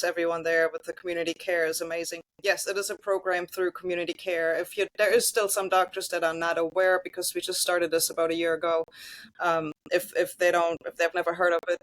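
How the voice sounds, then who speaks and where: A woman's voice: lowish voice, dry recording, rough recording. A woman with a German accent, talking earnestly as a guest on a casual video call, with frequent ums and restarts. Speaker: guest, massage therapist.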